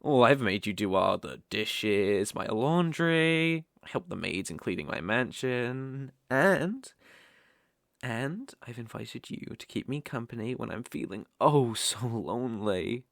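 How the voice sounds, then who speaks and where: A British man voicing a possessive, smug dark elf master in an intimate ASMR roleplay, teasing and condescending as he coaxes. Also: medium pitch, animated, slow, creaky, no room sound, clean studio sound, heavily acted. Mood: soft, coaxing. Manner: teasing, condescending, coaxing, with soft chuckles.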